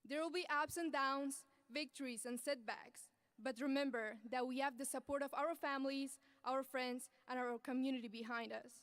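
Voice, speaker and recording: A young woman from Afghanistan giving an earnest, measured graduation speech as the student speaker at a podium microphone. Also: high voice, fairly even intonation, fairly bright tone, no room sound. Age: young adult. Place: large ceremony venue.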